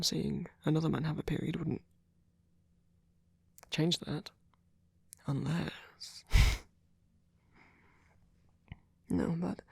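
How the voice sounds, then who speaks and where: A man playing a gentle, centuries-old vampire boyfriend in an ASMR roleplay, speaking softly in a breathy, husky half-whisper with a hesitant, comforting manner. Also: a little high, no echo, exaggerated voice. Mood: thoughtful, gentle. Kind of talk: ASMR roleplay, soft intimate monologue.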